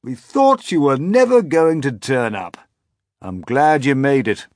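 A man's voice: mid-range voice, huge pitch swings, unhurried, no echo, studio quality, pitched above the performer's own voice. Old storybook grasshopper, courteous and fussy man performing. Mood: welcoming.

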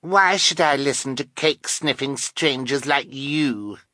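A man's voice: mid-range voice, measured pace, sharp tone, dry recording, studio quality, voice pushed up. A man voicing an obnoxious, bossy, spoiled little girl in a storybook, with a sneering, imperious manner. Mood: scornful.

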